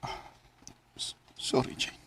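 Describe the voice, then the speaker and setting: An actor playing a young student who stammers through apologies and pleas in a radio drama. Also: male voice, slow, a bit bright, a little hiss or hum. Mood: wistful. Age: young adult. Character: young male student, torn between love and duty.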